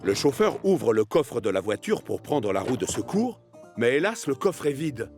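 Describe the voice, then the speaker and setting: A male storyteller for a children's cartoon, played by a man, with a medium-pitched voice and very lively intonation. He narrates expressively, as if from a storybook, over clearly audible music. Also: normal speed, natural voice. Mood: storytelling.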